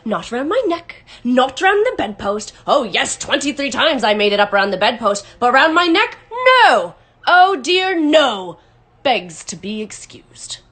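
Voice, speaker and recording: A woman's voice: mid-range voice, expressive, unhurried, hardly any pauses, sharp tone. A woman performs a melodramatic family man from a storybook. He is hot-tempered and blustering, theatrical in every phrase, and thoroughly sorry for himself. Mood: exasperated, shouting. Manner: blustering, theatrical, self-pitying.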